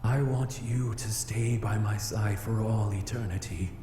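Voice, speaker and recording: A man's voice: lowish voice, fairly even intonation, measured pace, dark tone, lightly acted. An echoing voice with music behind it, belonging to a man acting as a male flame demon. He is proud and solemn, with stiff archaic phrasing that betrays a lovestruck fluster. It suits a visual novel game. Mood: tender, solemn.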